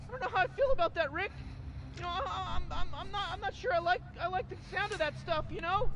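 A very high, squeaky teenage boy who stammers over nearly every phrase. He sounds nervous and whiny, tripping over his words as he protests. The recording picks up open outdoor street sound. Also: fast. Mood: uneasy.

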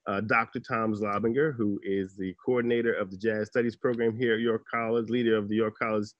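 A man moderating a panel over a video call, introducing the next guest in a measured way with many fillers. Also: on the low side, flat delivery, no room sound, muffled recording.

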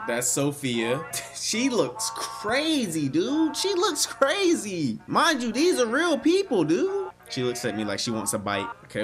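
A man in his twenties giving casual, slangy, exclamatory reaction commentary as a video host. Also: on the high side. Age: 20s. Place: small bedroom, indoors.

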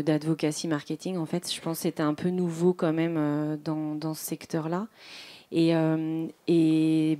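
A woman in her thirties speaks as a conference panelist into a handheld mic. Her voice is low, soft-edged and mellow, with little rise and fall, and her phrasing is informal and a bit hesitant. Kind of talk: conference case-study talk. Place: indoor conference stage. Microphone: handheld microphone held close.

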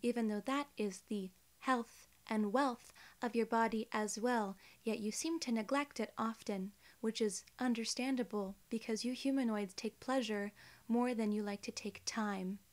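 A young woman playing a polite, clinical alien inspector in an ASMR role-play, soft-spoken, formal and soothing. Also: fairly high pitch, steady tone, measured pace, lightly acted. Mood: formal, calm. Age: young adult. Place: small indoor room, seated.

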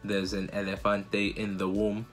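An American man around his thirties giving casual, slangy reaction commentary as a video host, expressive and full of exclamations. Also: on the low side, measured pace, over music. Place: small bedroom indoors.

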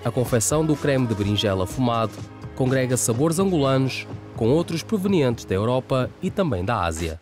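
A man's voice: medium pitch, over music. Man reading a news voice-over. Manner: even, measured read. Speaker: reporter voice-over. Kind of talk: scripted news narration.

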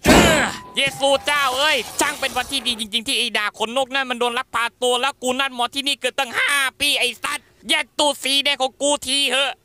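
Man voicing a fast-talking, brash imp boss. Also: very high-pitched, steady tone, sharp tone, smooth, heavily acted. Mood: irritated, sweary. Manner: brash, swearing, exasperated. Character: foul-mouthed frantic imp boss and doting father. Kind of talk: animated comedy fan dub. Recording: music behind the voice.

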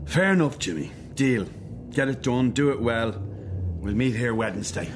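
A man's voice: mid-range voice, moderate pace, music in the background, close to an everyday voice. An Irish man acting as a terse, demanding boss in a radio play.

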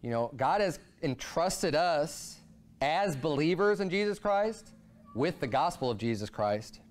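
Man in his thirties, pastor preaching at a pulpit, high tenor voice, animated delivery. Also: a little slow. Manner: animated preaching, voice rising and falling a lot. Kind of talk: sermon. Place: church sanctuary, speaking at a pulpit.

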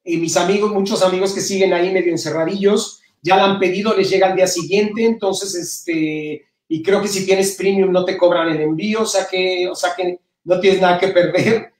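A middle-aged man from Mexico with a Mexican accent touched by Spain, talking casually and haltingly as an interview guest. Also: high voice, steady tone. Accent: Mexican Spanish with some Spain influence. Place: indoor room at home, on a video call. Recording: roomy, distant-mic video call.

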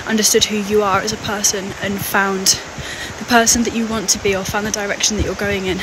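A woman's voice: mid-range voice, little pitch movement, brisk. A woman vlogging outdoors on a hike, talking in a casual, reflective way. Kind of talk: casual reflective chat while hiking. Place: outdoors on a hiking trail. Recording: very noisy outdoor wind and water sound.

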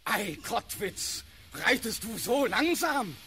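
An actor playing an impetuous young prince and cavalry commander in a classical German radio play. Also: male voice, high voice, sing-song, slow pace, bright, forceful tone, husky, full character voice. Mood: scornful.

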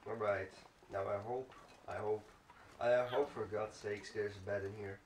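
A man's voice: on the low side, fairly even intonation, normal speed. A man giving casual let's play commentary, muttering to himself and swearing mildly. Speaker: gaming video host. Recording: roomy, distant mic, clearly noisy with clicks.

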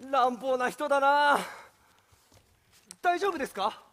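A man's voice: extremely high pitch, animated, slow pace, fairly bright tone, exaggerated voice. A man playing an earnest, bemused young defence lawyer in a comic stage play. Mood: exasperated. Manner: bemused, reactive, quibbling. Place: theatre stage.

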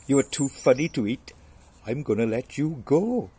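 A man's voice: mid-range voice, animated, moderate pace, warm tone, breathy edge. A man voicing a huge, imperious male lion with a teasing manner in a storybook fable. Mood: amused, roaring.

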